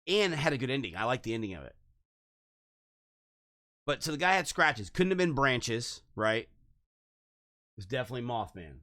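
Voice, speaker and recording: A man co-hosting a podcast in a casual chat, skeptical and quick with a joke. His pitch swings widely as he talks. The sound is close and dry. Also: very animated, pushed and bright, no echo. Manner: skeptical, joking, keeps prompting. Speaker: podcast co-host.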